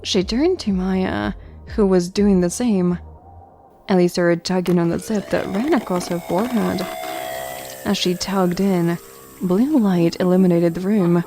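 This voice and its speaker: A woman's voice: fairly low pitch, measured pace, mellow, soft background music. A woman narrator reading a scripted story for an audio drama.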